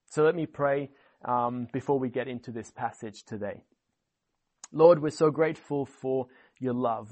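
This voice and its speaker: A man's voice: medium pitch, darkish tone. A man in his twenties from Switzerland with a Swiss accent, preaching a sermon as a guest speaker in an earnest, enthusiastic way. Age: 20s.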